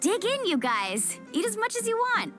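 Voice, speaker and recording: A woman's voice: very high-pitched, sing-song, normal speed, a bit bright, full character voice. A woman playing a confident, supportive young female warrior in a video game, with a casual, encouraging manner. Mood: upbeat. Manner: casual, encouraging, plain-spoken. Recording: music behind the voice.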